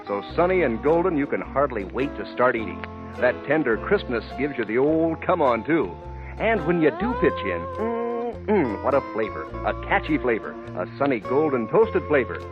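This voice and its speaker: Casting: American man, old-time radio ad pitchman, lively swooping delivery, slangy and keen, over music. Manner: folksy, enthusiastic, slangy. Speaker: radio commercial announcer. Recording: dull vintage radio recording, music behind.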